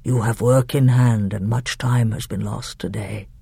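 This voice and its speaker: A woman voicing a huge, wise, gentle male lion, speaking low, slow and hushed. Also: steady tone, creaky, slightly rough, dry recording, exaggerated voice. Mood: grave gentle.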